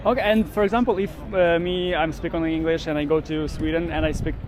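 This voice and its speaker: A curious man with a slightly high voice fires off quick follow-up questions to strangers on a city street. Traffic and street noise stay clearly audible behind him. He laughs easily between questions. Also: fairly even intonation. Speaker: street interviewer. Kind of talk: casual street interview questions.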